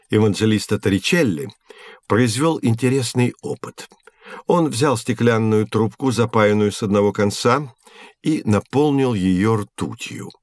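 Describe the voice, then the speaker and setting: Patient professor, dry studio sound. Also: male voice, medium pitch, no room sound. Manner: patient, didactic, clearly explanatory. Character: patient male professor teaching children with hands-on experiments. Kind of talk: scripted educational audio drama.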